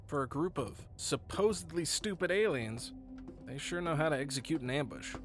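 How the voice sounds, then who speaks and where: A man playing a brisk, outspoken soldier, second-in-command of his squad, in a science fiction audio drama. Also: a little high, over music, close to an everyday voice. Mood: reassuring, wry. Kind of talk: sci-fi audio drama acting.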